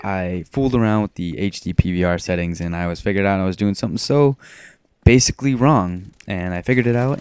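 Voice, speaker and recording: Low monotone teenage boy, smooth voice. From Maine, USA. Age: teens. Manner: self-described monotone, rambling with restarts. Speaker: gaming commentator. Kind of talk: casual gameplay commentary.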